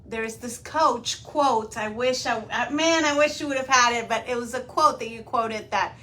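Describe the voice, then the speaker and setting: A woman who grew up in Venezuela tells her story as a podcast guest in an earnest, conversational way. Her voice is bright and crisp and sits fairly high. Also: normal speed. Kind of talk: casual interview storytelling.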